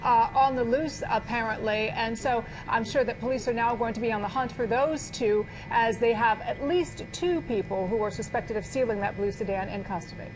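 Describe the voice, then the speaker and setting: A woman's voice: normal speed, hardly any pauses. A woman co-anchoring breaking news with live, unscripted commentary. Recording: live broadcast with noisy background rumble.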